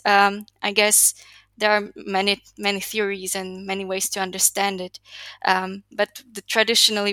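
A woman's voice: medium pitch, sharp tone, no room sound. A woman, giving earnest, articulate answers as an interview guest. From Slovakia. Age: 20s. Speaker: podcast guest, youth leader.

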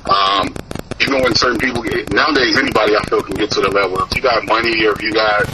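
A man's voice: on the low side, steady tone, fast talker, background noise. A young man from Mississippi, a musician guest in a casual interview, talking loosely with many fillers and restarts.

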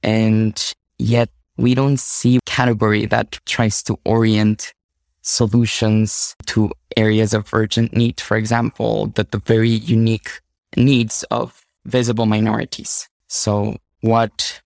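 A young man from Mexico, a native Spanish speaker with a Mexican Spanish accent, speaking measured in a documentary interview as a youth advocate. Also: fairly low pitch, completely flat delivery, creaky, darkish tone, no echo.

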